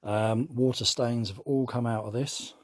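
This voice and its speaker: A man, losing his voice, casually narrating his workshop restoration work in a soft, trailing way. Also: medium pitch, steady tone, unhurried. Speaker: workshop host. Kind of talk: casual narration while working.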